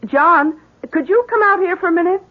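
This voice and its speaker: An actress playing an anxious, gentle wife in a radio drama, with a hesitant, worried delivery. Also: female voice, extremely high pitch, a little fast, noisy background. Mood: polite. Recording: dull 1970s radio recording.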